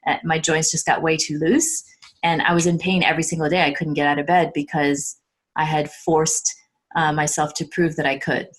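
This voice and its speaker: A woman's voice: deep voice, little pitch movement, normal speed. A middle-aged woman talking earnestly and reflectively as a guest in a casual conversation.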